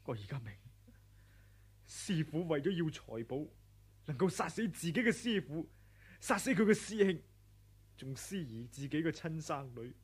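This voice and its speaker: An actor playing an earnest, wronged young disciple in a Hong Kong Cantonese radio drama, speaking in a husky, pleading, reproachful way. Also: male voice, fairly high pitch, very animated, normal speed, pauses often. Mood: bitter. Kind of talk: 1980s radio drama acting.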